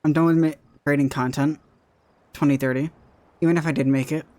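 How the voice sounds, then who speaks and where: A man's voice: on the high side, fairly even intonation, a little fast, darkish tone. A young man, a content creator, chatting casually about his future, rambling and often repeating himself with "right?". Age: young adult. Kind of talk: casual chat.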